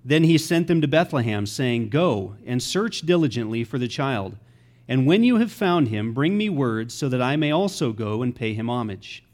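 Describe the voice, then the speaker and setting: A man's voice: medium pitch, very animated, normal speed, darkish tone. A man reading scripture aloud in a plain voice, with a small slip now and then. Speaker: scripture reader.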